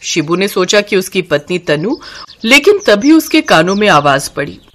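Female narrator for cartoon tales, scripted storybook read, very animated intonation. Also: medium pitch, normal speed.

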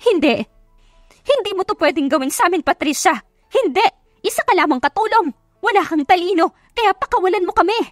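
A woman voicing a scheming middle-aged aunt in an audio drama, in shrill, desperate, pleading outbursts. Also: lively intonation, a little fast, no room sound, clean studio sound, faint music behind. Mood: desperate fury. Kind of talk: Tagalog audio drama acting.

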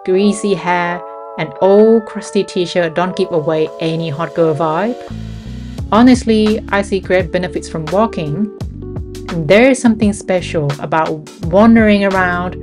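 A young woman narrating her fitness routine in a calm, chatty voice-over, lightly poking fun at herself. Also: fairly low pitch. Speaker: fitness vlogger. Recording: voice-over with music behind.

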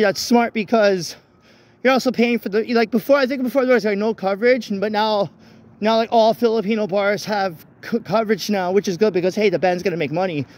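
A man rambling casually and haltingly into a handheld phone as he walks outdoors, often repeating his phrases. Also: high voice. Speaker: vlogger. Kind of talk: casual rambling walk-and-talk chat. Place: outdoors walking along a street at night. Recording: noisy outdoor phone, traffic ambience. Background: vehicles and street ambience.

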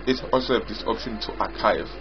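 A man giving an unscripted software walkthrough as its developer, explaining haltingly and repetitively in short phrases. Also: fairly low pitch. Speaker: software developer demonstrating own app. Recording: dull lo-fi audio with loud music. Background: loud music.

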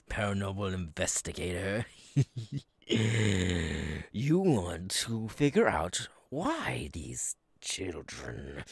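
A menacing, childlike circus clown monster for an ASMR audio roleplay. The voice is husky and creaking, drawn out extremely slowly. It lurches theatrically between breathy whispers and gleeful, cackling bursts. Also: male voice, low-pitched, no room sound, clean studio sound, exaggerated voice. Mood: sinister contempt.

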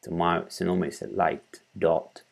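Male tutorial presenter, thirties, very low monotone, halting and informal, explaining each step at his desk. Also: gravelly. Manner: halting, thinking aloud while working. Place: small room at home, indoors.

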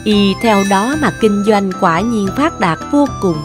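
A woman reading scripted narration for an animated folk tale. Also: medium pitch, a little slow, over music.

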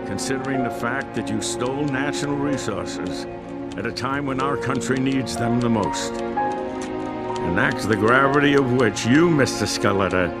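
A man's voice: medium pitch, a little slow, natural voice. An actor playing a stern older male judge handing down a sentence in formal, solemn words. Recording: game audio with loud music.

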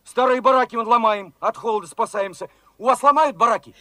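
An actor in a Soviet radio play playing a fervent young revolutionary soldier, talking in an excited, colloquial way. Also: male voice, extremely high pitch, a little fast, no echo. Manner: excited, colloquial, eager questioning.

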